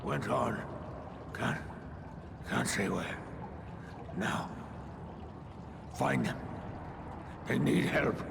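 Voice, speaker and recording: An actor playing a gruff, brave male dwarf warrior in a fantasy game, with a gravelly voice. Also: medium pitch, very slow, pauses often, background noise, full character voice. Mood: dying, gasping.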